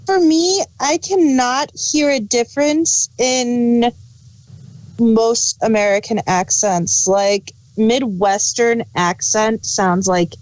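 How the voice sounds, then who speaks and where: A woman's voice: fairly high pitch, takes it slowly, a little mellow, poor audio. A woman chatting casually as a co-reviewer, repeating her points for emphasis. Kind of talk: casual chat.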